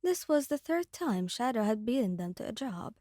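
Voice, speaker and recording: A woman's voice: on the high side, sing-song, normal speed, warm tone, no room sound, studio quality. A woman giving dramatic, scripted second-person narration for an ASMR audio roleplay.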